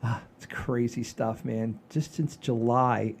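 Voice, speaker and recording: A man's voice: medium pitch, steady tone, takes it slowly, vocal fry, husky. A man in his sixties hosting a casual comedy chat show in a folksy, rambling, enthusiastic way. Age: 60s. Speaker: podcast host.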